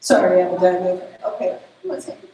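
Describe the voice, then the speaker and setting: A woman's voice: on the low side, expressive, mellow. Spanish-accented woman, echoing hall. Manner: informal, halting, many fillers and self-corrections. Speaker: program director presenting awards. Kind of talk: live event announcements. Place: large event hall. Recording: very echoey hall, faint background noise.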